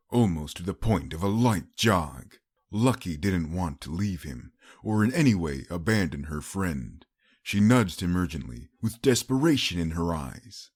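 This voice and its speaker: Man, deep pitch, dry recording, dramatic audiobook narration, scripted fiction. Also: darkish tone, no echo.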